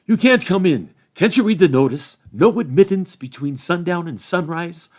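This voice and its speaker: A man voicing an officious, shouting hobbit gate guard from a storybook. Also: on the high side, lively intonation, moderate pace, voice pushed up. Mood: alarmed. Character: officious unseen male hobbit night guard.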